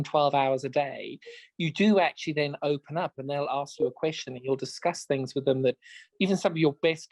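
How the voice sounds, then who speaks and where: A man's voice: fairly high pitch, darkish tone, rough recording. A man from Zimbabwe co-hosting a podcast, chatting in an effusive, storytelling way. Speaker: podcast co-host. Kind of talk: casual chat.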